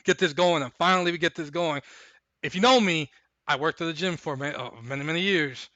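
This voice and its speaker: Hoarse, hyped man hosting a stream. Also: on the high side, a little fast, sharp tone, dry recording. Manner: rambling, hyped, repeats himself. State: hoarse, losing his voice. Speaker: stream host. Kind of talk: casual live-stream chat and recap.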